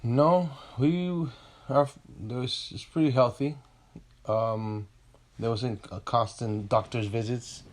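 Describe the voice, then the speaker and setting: A man in his thirties from Puerto Rico, a podcast guest, soft-spoken and halting. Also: mid-range voice, steady tone. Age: 30s. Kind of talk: casual interview.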